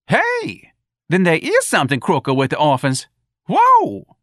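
A man's voice: on the high side, wildly swooping pitch, a little slow, a bit bright, no room sound, clean studio sound, pitched above the performer's own voice, exaggerated voice. A man voicing a streetwise, defiant and fed-up male bar janitor for an audiobook, with slangy speech and whooping exclamations. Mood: triumphant defiance. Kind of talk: audiobook character voice.

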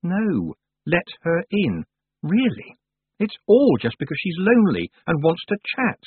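Sensible, reproachful young witch girl read by a man, raised pitch, dry tinny audio. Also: unhurried, breathy edge, dry recording, exaggerated voice. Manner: calm, firm, reproachful. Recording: dry, tinny phone-like sound.

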